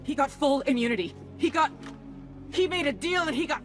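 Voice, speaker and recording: An actress playing a blunt, bitter and defiant American intelligence officer in a video game, speaking with profane intensity. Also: female voice, high-pitched, lively intonation, fairly quick, soft background music. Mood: furious. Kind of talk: cinematic video game acting.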